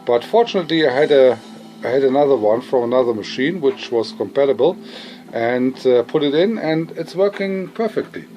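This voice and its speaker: A man's voice: mid-range voice, animated, fairly quick, dark tone, muffled recording, faint music behind. A man giving an informal, unscripted demonstration as a hobbyist, speaking hesitantly and repeating phrases for emphasis. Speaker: hobbyist presenter demonstrating vintage audio gear.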